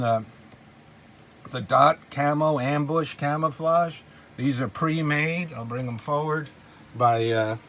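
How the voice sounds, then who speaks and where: A man with a mid-range voice talks casually through items in a collection. He sounds informal and enthusiastic, rambling along without a script. There is clear noise behind his voice. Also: medium pitch, fairly bright tone. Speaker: collector presenting models and memorabilia. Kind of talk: casual unscripted show-and-tell narration.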